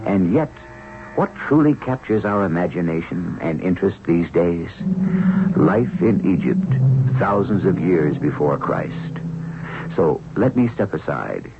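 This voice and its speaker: A man hosting a radio drama with theatrical, deliberate, scripted narration. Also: sits low, very animated. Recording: muffled old radio broadcast, music behind.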